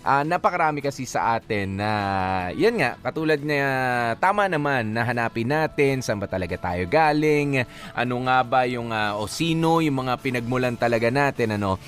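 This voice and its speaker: A man's voice: mid-range voice, expressive, normal speed, a bit bright. A man with a Filipino accent hosting a radio letter show, mixing Tagalog and English as he reads and comments casually. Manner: code-switches between Tagalog and English. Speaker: radio host reading a listener's letter and commenting. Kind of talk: radio advice show reading and casual banter. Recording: music playing behind the voice.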